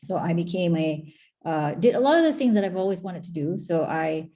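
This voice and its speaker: A woman's voice: fairly low pitch, lively intonation, a little fast, warm tone. A middle-aged Malaysian woman answering interview questions in a chatty, talkative way, with frequent restarts and fillers. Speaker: guest, former tech agency chief.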